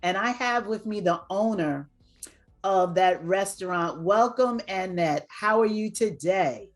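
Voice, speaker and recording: A woman's voice: medium pitch, expressive, unhurried. A woman hosting a show on female entrepreneurship, speaking in an upbeat, emphatic, motivational way. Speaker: podcast host. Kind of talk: hosted interview show.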